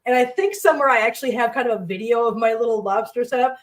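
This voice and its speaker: A woman's voice: fairly high pitch, quick pace, distant mic. A woman hosting a livestream from her home kitchen, chatting casually while cooking. She sounds congested and rambles, talking to her pets and viewers.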